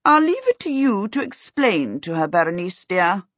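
A woman's voice: medium pitch, very animated, normal speed, husky, dry recording, muffled recording. A woman voicing a bossy, rich older aunt who gives commands in an audiobook. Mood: imperious. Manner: commanding, decreeing. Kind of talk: audiobook character voice.

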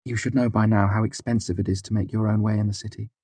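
A male narrator plays a worldly storybook woman, lifted well up in pitch and husky. She is matter-of-fact and arch, scolding hard but caring underneath. The sound is close and dry. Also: little pitch movement, fast talker, mellow, no room sound. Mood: frank, caring. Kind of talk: audiobook character dialogue.

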